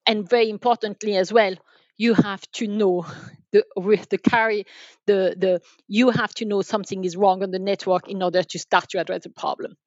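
A woman explaining things as an expert guest in a podcast interview, haltingly, often repeating and rephrasing her phrases. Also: mid-range voice, steady tone, a little mellow, no room sound, dull audio. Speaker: guest, enterprise sales manager.

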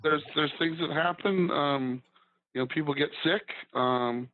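A man's voice: a little high, a little slow. A man who is a guest on a business podcast, rambling casually with lots of ums and restarts. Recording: dry tinny phone-like line.

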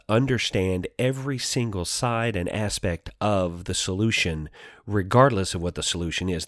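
A man's voice: on the low side, dry recording, studio quality. A man hosting a podcast, delivering prepared introductions and interview questions.

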